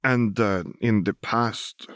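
A man's voice: fairly low pitch, slow pace, fairly bright tone, no echo, clean studio sound, pitched above the performer's own voice. Man voicing a tentative, careful young nobleman. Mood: quietly confiding.

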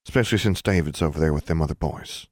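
Man doing an anxious, gentle country girl. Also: rumbling low, fast, no echo, studio quality. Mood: hesitant. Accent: rural Southern US. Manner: homespun archaic dialect. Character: gentle, anxious teenage country girl, prim and old-fashioned. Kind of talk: audiobook character dialogue.

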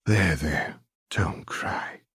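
A man voicing a gentle, soothing middle-aged father who speaks in a hushed, tender, deliberate way in an audiobook. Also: deep voice, husky, no room sound, studio quality, exaggerated voice.

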